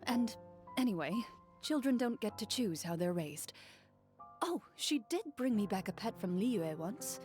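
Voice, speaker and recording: Composed warrior woman for a video game, played by an actress. Mid-range voice, formal, calm, matter-of-fact. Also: mid-range voice, close to an everyday voice. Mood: reflective. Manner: calm, formal, measured phrasing. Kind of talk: video game character dialogue. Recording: music faintly behind the voice.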